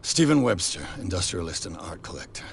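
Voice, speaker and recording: A weary, cynical drunk detective. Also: male voice, sits low, big pitch swings, fairly quick, vocal fry, a little hiss or hum, full character voice. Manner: dry, curt and cynical. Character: weary, hard-drinking male private detective. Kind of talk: game voice acting.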